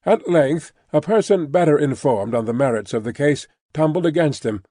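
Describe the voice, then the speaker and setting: A man reading an audiobook as scripted literary narration, in a measured, formal storytelling manner. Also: on the high side, lively intonation, warm tone, dry recording, clean studio sound.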